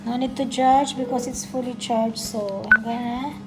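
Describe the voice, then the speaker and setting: High-voiced Filipino woman, casual demo talk. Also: steady tone, normal speed, heavy background noise. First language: Filipino (Tagalog). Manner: talks through each step in short fragments. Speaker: vlogger demonstrating products. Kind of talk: casual unboxing and testing demonstration.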